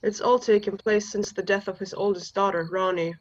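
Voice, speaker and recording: A polite, guarded stranger voiced by an actress answers a household telephone in a radio drama. The delivery is formal and reserved, at a middling pitch, with muffled sound. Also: female voice, fairly even intonation, brisk, a little hiss or hum, lightly acted. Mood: grave. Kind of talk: radio play acting.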